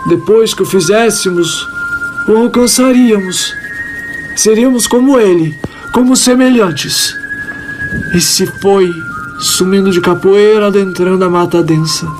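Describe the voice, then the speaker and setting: A woman voicing a devout small creature, telling its tale in solemn, reverent, dramatic narration with deliberate pauses. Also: medium pitch, over music, close to an everyday voice, low-quality recording. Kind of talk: dramatic first-person scripted narration.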